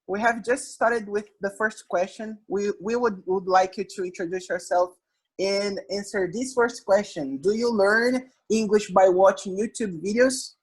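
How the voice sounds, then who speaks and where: Husky-voiced man moderating a call. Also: high-pitched, a little slow. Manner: friendly, guiding, asks questions. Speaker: host, moderator. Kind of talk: moderating an online discussion.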